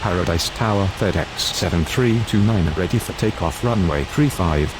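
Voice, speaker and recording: A man's voice: deep voice, moderate pace, over music, rough recording. A man speaking in formal air traffic control radio phraseology, as pilots and controllers do.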